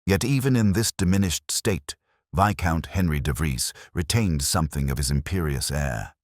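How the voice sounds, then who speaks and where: A man's voice: a bass voice, lively intonation, moderate pace, no room sound, studio quality. A man narrating a Victorian detective story in a scripted, literary audiobook style.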